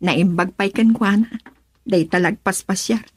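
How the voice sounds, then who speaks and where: A woman's voice: mid-range voice, measured pace, husky. A woman playing a persuasive, eager young married daughter in an Ilocano radio drama, with a Filipino accent.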